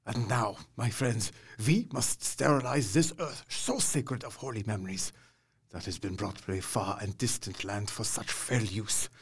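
A man's voice: fairly low pitch, a little slow, slightly rough. An actor playing an elderly vampire hunter professor, solemn and fatherly, speaking in a grave, formal way. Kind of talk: audio drama acting.

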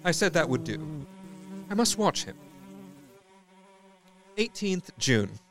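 An actor playing a brooding, studious asylum doctor dictating case notes in an audio drama, formal, clinical and reflective. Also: male voice, on the high side, big pitch swings, slow, a bit bright, full character voice. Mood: watchful curiosity. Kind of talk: dramatic diary narration.

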